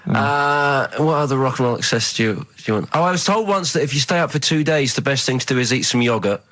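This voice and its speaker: A man's voice: mid-range voice, expressive, fast talker, a bit bright, no echo. A man in casual radio chat as a studio guest, telling anecdotes in a hesitant, rambling way. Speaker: studio guest, rock music fan.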